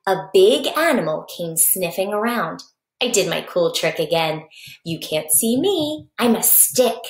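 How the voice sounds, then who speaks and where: A woman's voice: medium pitch, big pitch swings, measured pace. Woman reading as a cocky little praying mantis, echoey. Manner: playful, boastful, short diary-like sentences. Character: small cocky young male praying mantis diarist. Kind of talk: expressive children's storybook reading. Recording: echoey basement room.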